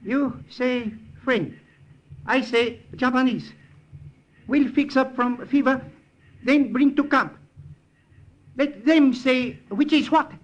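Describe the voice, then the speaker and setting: High-voiced, slow, blunt jungle scout. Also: male voice. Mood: suspicious, firm. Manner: broken English in short, blunt phrases. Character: short, blunt, suspicious Kachin jungle scout. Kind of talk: 1950s radio drama acting. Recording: roomy, dull, noisy old radio recording.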